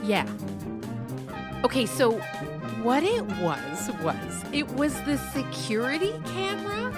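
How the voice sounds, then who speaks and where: A woman's voice: high voice, very animated, slow. A woman interview guest in casual conversation, recounting things in an informal way. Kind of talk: casual chat, telling recollections. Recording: prominent music behind the voice.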